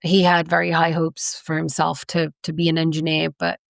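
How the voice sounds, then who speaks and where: A woman from England talks as an academic interview guest, earnest and impassioned, leaning on "you know" as she goes. Her voice sits low with a gravelly fry at the ends of phrases. The sound is close and dry. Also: monotone, normal speed, no room sound. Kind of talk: conversational interview.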